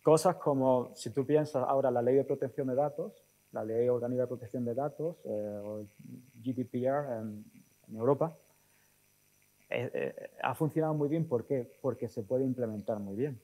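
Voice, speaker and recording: Man, forties, soft-edged mellow tone, mid pitch, halting explanations with tag questions, on-stage interview. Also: low-quality recording. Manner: halting, thinking aloud with frequent tag questions. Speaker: guest speaker, technology executive. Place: auditorium stage. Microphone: lapel mic.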